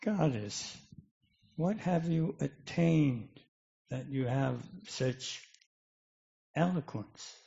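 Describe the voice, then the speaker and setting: A man reading the part of an earnest, puzzled Buddhist monk in a scripture drama, with halting, formal phrasing. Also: a little high, animated, vocal fry, dark tone, exaggerated voice. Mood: earnest, questioning. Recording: dull, lo-fi recording.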